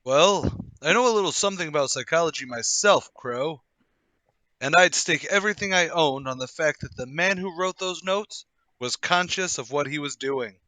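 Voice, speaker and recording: An actor playing a brisk, self-assured, well-to-do gentleman, emphatic and a little patronising, in a table read of a period murder mystery. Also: on the high side, low-quality recording. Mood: confident, commanding.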